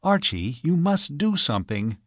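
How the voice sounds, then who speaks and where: A man's voice: fairly high pitch, very animated, normal speed, a bit bright, no room sound, clean studio sound, pitched above the performer's own voice. A man voicing an eager, urgent young married woman who coaxes earnestly in an audiobook. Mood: urgent pleading. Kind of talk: audiobook character voice.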